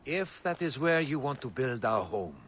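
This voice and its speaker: An actor playing an earnest, eager magistrate of an ancient city in a video game. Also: male voice, mid-range voice, very animated, normal speed, fairly bright tone, slight background noise. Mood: happy, proud. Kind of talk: video game cutscene acting.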